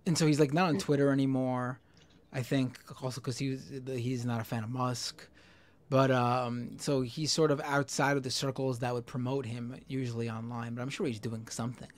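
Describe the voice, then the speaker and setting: A man chatting casually as a podcast guest and commentator, in a halting, self-correcting way. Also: mid-range voice, fairly even intonation. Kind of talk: casual chat.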